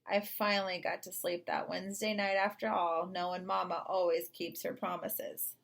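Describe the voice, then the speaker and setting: A woman narrating a children's story in an expressive read-aloud style. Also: fairly low pitch, moderate pace, creaky. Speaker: storybook reader.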